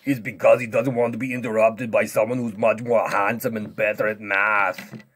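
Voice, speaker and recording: Male actor as boastful, dim strongman for children's comedy lesson; lowish, husky, sulky and dismissive. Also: flat delivery, normal speed. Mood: boastful, scornful. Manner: pompous, loud, sulky and dismissive. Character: vain, boastful, dim-witted strongman who belittles tiny kids. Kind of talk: comic kids' maths lesson skit.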